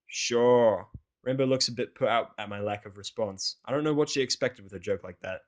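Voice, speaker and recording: A man's voice: on the low side, fast talker, a bit bright. A man narrating a scripted first-person fan fiction story in a casual, grumbling, sardonic way.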